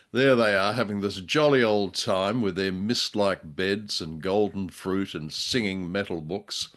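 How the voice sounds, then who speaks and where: A man co-hosting a podcast, chatting casually in a droll, wordy, hesitant way. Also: lowish voice. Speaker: podcast co-host. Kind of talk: casual chat, radio drama discussion.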